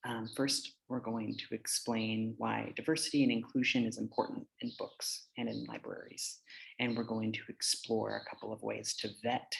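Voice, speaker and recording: A man's voice: on the low side, little pitch movement, normal speed, vocal fry, fairly bright tone, rough recording. A man with an American accent giving an informal slide presentation in a conversational, hesitant manner. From United States. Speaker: teacher giving a conference presentation.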